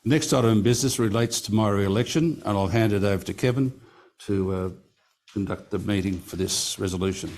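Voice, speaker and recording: A man's voice: lowish voice, a little mellow, a little hiss or hum. A man chairing a company meeting, reading out resolutions and vote counts in a formal, careful way and stopping now and then to correct a figure. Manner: reads figures carefully, pausing to correct himself. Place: meeting room.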